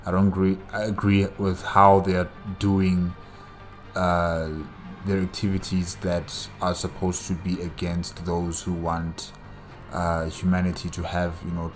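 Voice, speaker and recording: A man with a deep, fairly monotone voice talks through his reaction as a solo host. He speaks casually and haltingly, often pausing to find the right word. Faint music plays behind him. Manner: halting, pausing to search for words.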